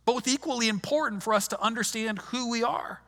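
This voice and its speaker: A man's voice: very high voice, a little fast, a bit bright. A man preaching a sermon in a conversational way, often restarting his sentences, recorded in a large hall. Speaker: pastor. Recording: long hall reverb.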